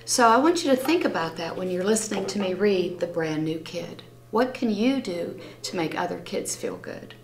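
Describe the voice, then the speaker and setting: A woman reading a picture book aloud to children in an expressive, animated storytelling style, stopping to address her listeners. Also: fairly low pitch. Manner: animated, acts out characters' lines, pauses to ask listeners questions. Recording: roomy, echoing room sound.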